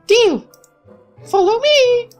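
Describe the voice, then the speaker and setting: A woman's voice: extremely high pitch, animated, drawn-out words, faint music behind, pitched above the performer's own voice, exaggerated voice. A woman voicing a bossy, teasing young girl in a visual novel, mocking and scolding. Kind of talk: dramatic reading of a visual novel.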